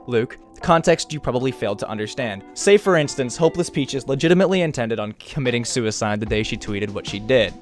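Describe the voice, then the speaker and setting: A man delivering scripted commentary in a formal, wordy, reasoning manner. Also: mid-range voice. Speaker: video commentator.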